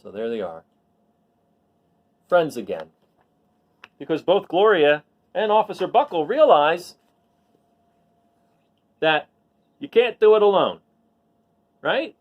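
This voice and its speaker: Male storyteller, picture-book read-aloud. Very animated, wide-swinging intonation, emphatic words, conversational asides. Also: fairly high pitch, slow, long pauses, highs cut off. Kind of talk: expressive read-aloud with chatty asides to listeners.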